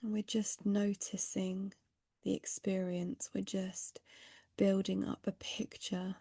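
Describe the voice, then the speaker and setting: A woman leading a guided mindfulness meditation in a gentle, unhurried, breathy voice with long pauses, some phrases fading to a near-whisper. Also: medium pitch, flat delivery, no echo, clean studio sound.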